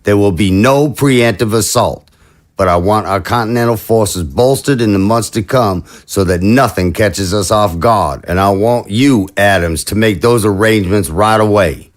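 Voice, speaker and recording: A man voices a measured leader in an audio drama. He hears out his advisers and then issues orders with calm authority. His voice sits fairly low. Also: natural voice. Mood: commanding. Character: measured male leader, commanding and diplomatic. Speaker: head of state giving orders.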